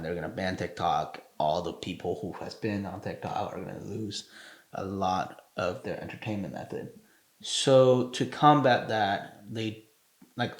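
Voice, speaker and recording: A man co-hosting a podcast in casual chat, talking haltingly with fillers and restarted phrases. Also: on the low side, reverberant. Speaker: podcast co-host.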